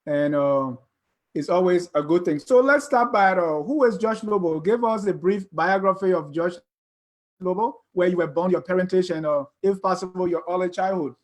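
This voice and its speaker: High-voiced Liberian man interviewing. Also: moderate pace.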